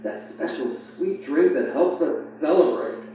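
Sly, mellow young tempter. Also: male voice, a little high, measured pace, distant mic. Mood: enticing. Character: sly, pleasure-seeking young tempter, tipsy reveler. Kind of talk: audio drama acting. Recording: very echoey, noisy playback with hum.

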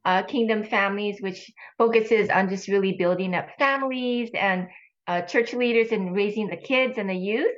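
A woman in her sixties from Hawaii, talking earnestly as an interview guest, with halting restarts. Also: medium pitch, highs cut off. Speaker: guest, ministry leader. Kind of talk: casual interview talk.

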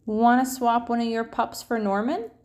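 A woman voicing a grudging but soft-hearted young boy in a storybook, speaking plainly and emphatically. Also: on the high side, fairly even intonation, moderate pace, pitched above the performer's own voice, lightly acted. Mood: hopeful.

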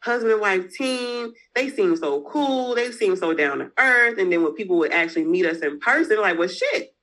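A woman's voice: medium pitch, expressive, moderate pace, a bit bright, poor audio. Woman, frank interview guest, casual slangy delivery. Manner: candid, frank, uses slang and mild cursing. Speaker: entrepreneur guest.

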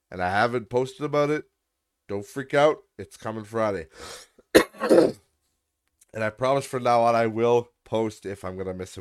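Nasal ranting young man. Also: on the low side. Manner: exasperated, profane ranting with filler and restarts. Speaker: podcast host. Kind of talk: solo ranting podcast.

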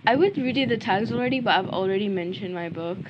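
A woman's voice: mid-range voice, expressive, fast, highs cut off, background noise. A young woman in a casual book review vlog, ranting bluntly and chattily while she is losing her voice.

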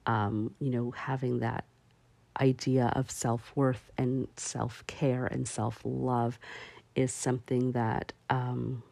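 A woman hosting a solo talk show, speaking slowly and haltingly with many fillers. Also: a bass voice, little pitch movement, creaky, a little mellow, no echo. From parents from Barbados. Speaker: podcast host.

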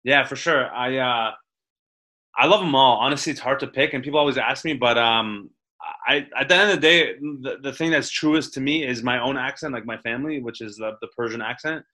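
A man's voice: medium pitch, a little fast, sharp tone. A young man, a stand-up comedian from the Toronto area, chatting in an interview, rambling with restarts and casual swearing. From Toronto area, Canada.

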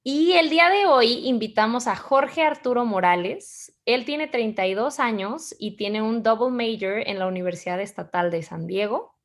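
A woman co-hosting a podcast, reading a guest's introduction and then asking him questions in an upbeat, chatty way over a video call. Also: fairly high pitch. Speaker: podcast co-host.

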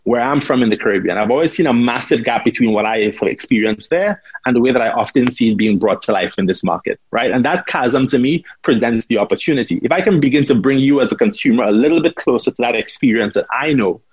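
Male founder from Trinidad and Tobago with a husky voice, recorded dry and close, answering interview questions with frequent restarts. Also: mid-range voice, fast, hardly any pauses, dry recording, highs cut off. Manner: repeats words and says right often. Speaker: rum brand founder.

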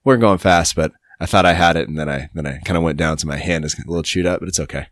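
A man in his thirties hosting a podcast, talking in a relaxed, rambling way with lots of fillers. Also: sits low, rapid-fire, dry recording, studio quality. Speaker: podcast host. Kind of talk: casual podcast interview and intro.

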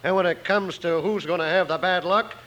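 A man's voice: sits high, brisk, dull audio, close to an everyday voice. A man playing a menacing, surly rancher villain in a western radio drama, curt and threatening. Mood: hostile, menacing. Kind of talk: 1950s western radio acting. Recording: noisy old radio recording.

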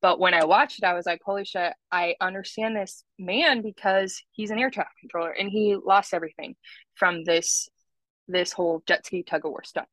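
Low-voiced woman podcast host, dry close sound. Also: moderate pace, a bit bright, no room sound, rough recording. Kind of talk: podcast commentary mixed with reading notes.

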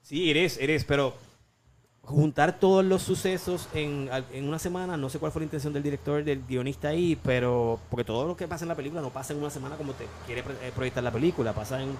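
A man in casual chat about films, thinking aloud with pauses and mixing in English words. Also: a little high, a little hiss or hum. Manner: hesitant, reasons out opinions, mixes in English.

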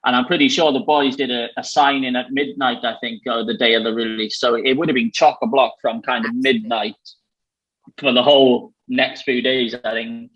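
A man's voice: mid-range voice, flat delivery, normal speed, fairly bright tone, muffled recording. A man from Wales with a Valleys accent, chatting casually as host, rambling with plenty of fillers. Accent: South Wales Valleys. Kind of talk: casual chat.

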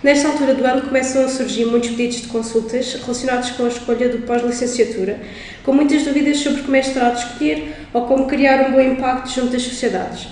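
High-voiced woman reading a podcast intro. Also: fairly even intonation, fast, darkish tone, far from the mic. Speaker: intern, podcast co-host. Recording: echoey room with faint noise.

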